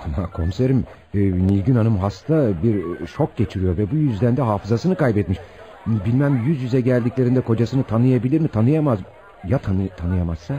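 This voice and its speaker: Man playing a mellow-voiced, distraught gentleman, swooping intonation. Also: fairly low pitch, expressive, brisk, muffled recording, lightly acted. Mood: anxious. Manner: agitated, hesitant, dramatic. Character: distraught, emotional gentleman cheated by child seller. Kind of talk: Turkish radio theatre acting.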